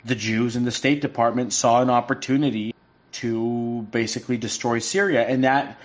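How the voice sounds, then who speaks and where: A man from the United States, a podcast guest, speaking haltingly with many restarts over remote call audio that cuts in and out. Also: mid-range voice, steady tone. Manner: halting, with frequent restarts and fillers. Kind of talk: casual podcast chat.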